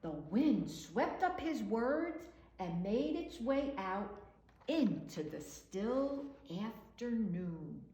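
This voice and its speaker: A woman reading picture books aloud for children in an expressive, deliberate storytelling delivery, recorded in a roomy space. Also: on the high side. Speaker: children's librarian, storytime reader. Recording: roomy echo with faint background noise.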